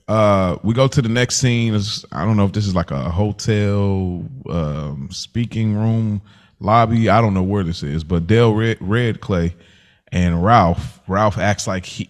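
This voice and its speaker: A man hosting a podcast, casually reviewing television in an informal, slangy way. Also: on the low side. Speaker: podcast host.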